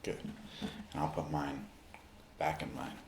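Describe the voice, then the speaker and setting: A man speaking in an ASMR roleplay, addressing the listener directly in short, unhurried phrases with pauses. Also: extremely low pitch, completely flat delivery, echoey room, background noise. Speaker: ASMR performer playing a basketball recovery expert.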